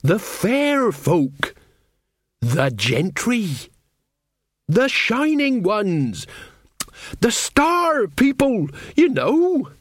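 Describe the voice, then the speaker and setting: An exasperated old countrywoman from acted out by a man, higher in pitch and very animated. She rambles vaguely and haltingly, like a storybook mother dodging a hard explanation, in a dry, close recording. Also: no room sound, full character voice. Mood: urgent, exasperated. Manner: vague, halting, rustic grammar. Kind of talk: audiobook character acting.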